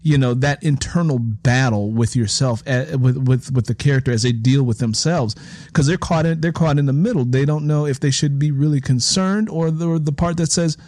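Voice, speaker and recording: A man's voice: medium pitch, moderate pace, a little mellow, no room sound, studio quality. A man hosting an interview in a conversational, reflective way, explaining his thoughts at length. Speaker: host interviewing an author.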